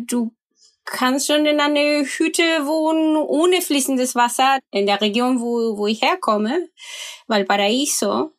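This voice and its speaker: Woman, fairly high pitch, slow, emphatic. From Chile. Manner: emphatic, simple phrasing. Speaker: guest.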